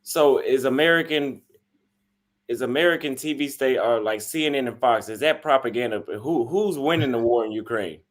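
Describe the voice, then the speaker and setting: A man's voice: mid-range voice, moderate pace. A man acting as host, putting casual interview questions to a caller.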